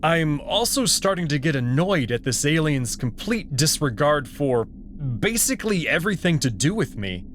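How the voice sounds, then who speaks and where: A man reading a visual novel aloud as its narrator, in an expressive storytelling style. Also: fairly high pitch, normal speed, slight background noise. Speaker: narrator and voice performer.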